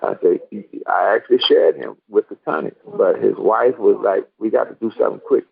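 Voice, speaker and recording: An older man giving a casual, earnest testimony over the telephone in a gravelly, husky voice, repeating words for emphasis. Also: fairly low pitch, fairly quick. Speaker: guest giving a personal testimony. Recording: tinny narrowband phone line.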